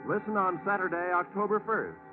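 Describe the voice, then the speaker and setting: A man's voice: high voice, lively intonation, fairly quick. An American man working as a radio announcer, with polished, formal 1940s network delivery. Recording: tinny vintage radio recording with music.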